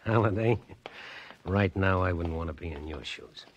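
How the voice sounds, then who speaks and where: Low-voiced bossy gangster played by a man. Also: moderate pace, over loud music, close to an everyday voice. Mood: menacing, bossy. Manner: curt, commanding. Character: menacing, bossy, suspicious male gangster heavy. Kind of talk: 1940s radio drama acting. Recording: dull, noisy old radio recording.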